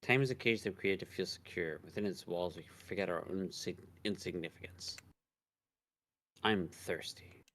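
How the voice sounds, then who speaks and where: A man voicing a despairing man stranded in a timeless void, in a slow dramatic visual novel monologue. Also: sits low, a bit bright. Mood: bleak, despairing.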